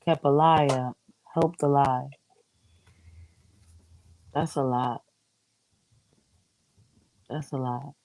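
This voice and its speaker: A man's voice: medium pitch, fairly even intonation, very slow, long pauses, a little mellow, dry recording, poor audio. A man chatting casually as co-host, incredulous and profane in his reactions. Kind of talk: casual chat.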